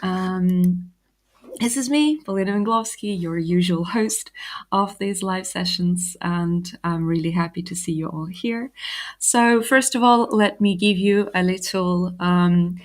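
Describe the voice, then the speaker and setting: An upbeat woman hosts a live online session with a chatty, friendly delivery. Her voice sits a little low, and she sprinkles her talk with fillers and laughter. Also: a little slow. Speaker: host. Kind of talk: live webinar hosting.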